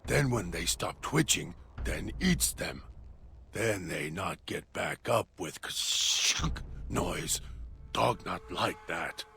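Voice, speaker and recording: A man doing a gravelly feral dog-creature. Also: low voice, expressive, slow, bright, forceful tone, slight background noise, full character voice. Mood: brooding. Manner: clipped broken speech about himself in third person. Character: broken feral male dog-creature, servile and menacing. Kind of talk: game character monologue. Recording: music playing underneath.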